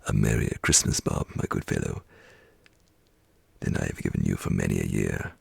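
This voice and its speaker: Man voicing gravelly, very deep old gentleman boss. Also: fairly even intonation, no echo, in a whisper, full character voice. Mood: earnest, jovial. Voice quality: growling, gravelly. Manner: stern, deliberate, formally polite. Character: old gentleman boss, gruffly feigning anger, secretly merry. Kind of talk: whispery bedtime storytelling.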